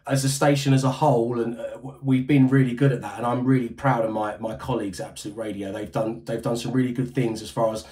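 Casual British radio host, 40s, rambling and self-mocking, on a home video call. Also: male voice, mid-range voice, flat delivery, fast, few pauses, a little mellow. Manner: rambling, self-deprecating, many restarts. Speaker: radio breakfast show host. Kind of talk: casual interview chat. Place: home room. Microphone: headset on video call.

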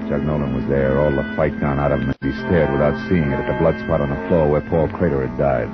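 An actor playing a wry, hard-boiled private detective who narrates tersely in a 1950s radio drama, from a dull lo-fi broadcast. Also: male voice, extremely low pitch, fairly even intonation, fast talker, over loud music.

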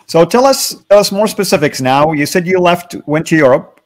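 A man's voice: fairly high pitch, animated, moderate pace. A man hosting an interview over a video call, asking questions and encouraging the guest to say more. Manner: prompting, encouraging. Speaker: interviewer host.

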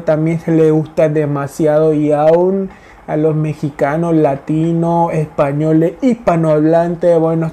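Chatty Indian-accented man, mellow voice. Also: a little high. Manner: chatty, with many fillers and repeated words; animated, gesturing hands. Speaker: vlogger. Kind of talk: casual talk to camera. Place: small indoor room in front of a blue wall. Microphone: lapel mic.

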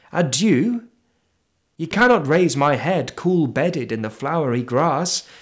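A man reciting poetry as a reader, with a measured, expressive and theatrical delivery. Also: medium pitch.

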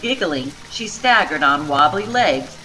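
A woman reading a children's storybook aloud with expressive, dramatic narration. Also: sits low, unhurried, slight background noise, poor audio.

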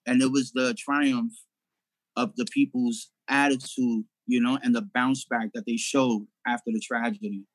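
A man's voice: mid-range voice, measured pace, dry recording, low-quality recording. A middle-aged man from Puerto Rico, a filmmaker guest on a video call, speaking earnestly and proudly and often saying "you know". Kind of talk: casual video call interview.

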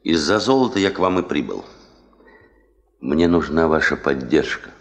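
Driven, self-assured prospecting chief, low voice, very animated intonation, emphatic, 1970s radio play. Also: male voice, moderate pace. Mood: polite, formal. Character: proud, driven, stubborn male gold-prospecting chief.

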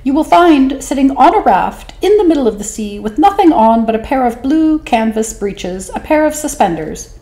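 A woman voicing a small, clever fish in a storybook reading, speaking in a high, knowing voice. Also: sing-song, dark tone. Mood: clever, eager. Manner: quick, knowing, high-pitched.

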